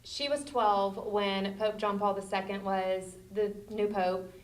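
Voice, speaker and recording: A woman's voice: medium pitch, fairly even intonation, slow, a little hiss or hum. Woman in her thirties, echoey room. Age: 30s. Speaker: sixth grade teacher interviewed for news. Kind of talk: conversational news interview.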